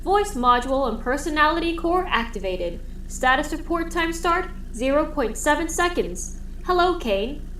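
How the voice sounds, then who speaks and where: High-voiced little helper bot, played by a woman, formal and polite, lively intonation, roomy noisy recording. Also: normal speed, few pauses. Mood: polite, mechanical. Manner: polite, formal status-report phrasing. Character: small cheerful all-purpose robot companion with personality core. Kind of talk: audio drama acting.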